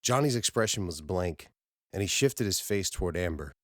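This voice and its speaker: A man's voice: low voice, lively intonation, moderate pace, no echo, studio quality. A man reading scripted science fiction as an audiobook narrator.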